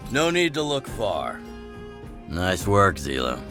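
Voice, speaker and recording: A man voicing a gruff, wry older rebel chief in a video game, with a measured, sardonic delivery. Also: huge pitch swings, exaggerated voice. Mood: relaxed, assured. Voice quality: deep, gravelly. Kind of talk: scripted video game voice acting. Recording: game music under the voice. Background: game music.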